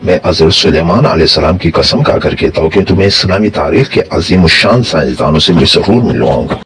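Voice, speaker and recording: A man's voice: sits low, lively intonation, a little fast. A man playing a grateful, solemn jinn in a dramatized children's story, with formal, emphatic speech. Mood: resolute.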